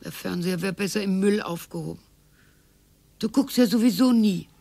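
A sarcastic retiree's wife. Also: female voice, mid-range voice, moderate pace, creaky, mellow. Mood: needling. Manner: sarcastic and dismissive. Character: sharp-tongued older wife of a bored retiree. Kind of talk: radio play acting.

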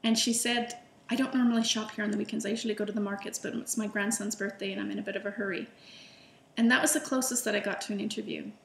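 A middle-aged woman talks to camera, chatty and enthusiastic, often restarting her sentences. Her voice sits at an ordinary pitch. The room around her sounds roomy, with a faint hum of ambience. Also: fairly even intonation, quick pace, vocal fry. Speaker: illustrator and food vlogger. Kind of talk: casual personal storytelling to camera.

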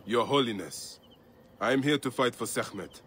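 An actor playing a calm, steady male warrior protagonist in a video game who speaks firmly. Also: mid-range voice, slight background noise, natural voice. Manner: calm, firm, measured.

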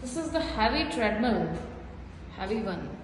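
Woman vlogger, unhurried and repetitive, phone mic in a boomy room with a long reverb tail. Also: animated, a little hiss or hum. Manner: simple repetitive pointing-out explanations. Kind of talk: casual gym tour vlog. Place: small indoor building gym. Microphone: phone held while filming. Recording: echoey room with hall reverb.

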